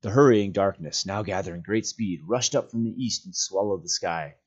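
A man's voice: low-pitched, moderate pace, a little mellow, husky, lo-fi sound. A man reading a fantasy novel aloud as a storyteller, a volunteer reader narrating.

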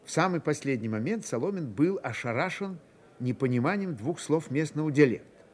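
A man narrating a novel for radio in a measured, expressive storytelling manner. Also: fairly high pitch. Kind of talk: dramatised literary narration for radio.

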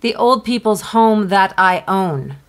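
An actress playing a sensible, exasperated middle-aged ex-wife in a comedy podcast, blunt and dry. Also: female voice, medium pitch, animated, slow pace. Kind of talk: audio comedy acting.

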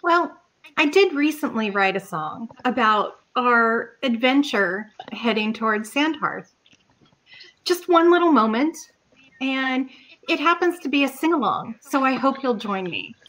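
A woman with a high, bright voice takes part in a tabletop game. She speaks slowly and playfully, chatting casually in character. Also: lively intonation, rough recording. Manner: playful, unhurried. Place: at home indoors on a group video call.

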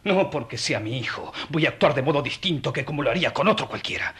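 A man playing a stern, angry, unforgiving merchant father in an audio drama, scornful and domineering, with a raspy voice. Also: mid-range voice, animated, fast talker, a bit bright. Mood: furious.

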